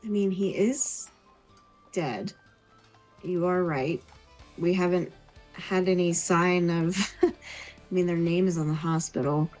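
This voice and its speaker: A cautious, compassionate village woman, played by a woman at a game table, speaking slowly and fairly low. She sounds hesitant and worried, with music behind her. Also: steady tone, darkish tone. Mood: torn.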